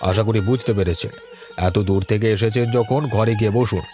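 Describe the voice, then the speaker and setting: A man playing a proud older village patriarch, gruff yet caring, in an audio drama. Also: on the low side, fairly quick, warm tone, soft background music, close to an everyday voice. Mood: curt. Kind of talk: Bengali audio story drama acting.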